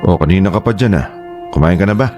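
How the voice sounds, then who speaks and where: A man playing a middle-aged father who was once stern and has since softened toward his sons, speaking gruffly but gently in a radio drama. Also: low-pitched, quick pace, music in the background, close to an everyday voice. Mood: calm.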